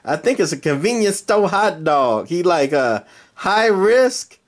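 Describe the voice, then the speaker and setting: A man's voice: high voice, very animated, a little slow. A man chatting jokily over CB radio, stammering and repeating words as he goes. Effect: CB radio transmission. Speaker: CB radio operator. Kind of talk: casual joking radio chat.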